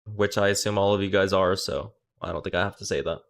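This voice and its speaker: A young man streaming, chatting casually and joking with his viewers. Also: deep voice. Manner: profane, joking, quick reactions. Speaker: streamer and coach. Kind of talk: casual livestream chat.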